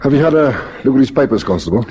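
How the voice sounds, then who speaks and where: An actor with a South African accent playing a brooding, probing police lieutenant in a radio crime drama, ruminative yet pressing. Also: male voice, medium pitch, expressive, very fast, mellow, a little hiss or hum, close to an everyday voice. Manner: ruminative, hesitant, then pressing.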